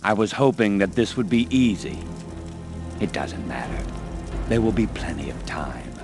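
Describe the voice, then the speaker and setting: A man's voice: lowish voice, sing-song, moderate pace, gravelly, over music. A man playing a menacing zealot garrison commander in a fantasy video game, sneering and theatrical with mock courtesy. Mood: cold menace. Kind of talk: villainous fantasy game acting.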